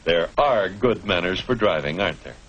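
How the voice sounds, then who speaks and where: A man's voice: low voice, expressive, normal speed, a bit bright. A man narrating a 1950s educational film in an instructive manner, putting rhetorical questions to the viewer. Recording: noisy old 1950s film soundtrack.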